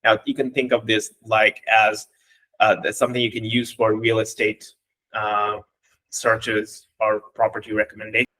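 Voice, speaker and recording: A man from Bangladesh whose first language is Bengali, explaining code step by step in a relaxed way, with fillers and restarts. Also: on the low side, moderate pace, slight background noise, low-quality recording. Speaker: coding instructor. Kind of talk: walking through code live.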